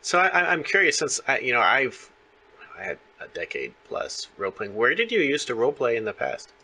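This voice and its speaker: A man's voice: mid-range voice, very animated, brisk, bright, forceful tone, slightly rough. A man in his thirties hosting a casual interview in a chatty, rambling way. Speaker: interview host.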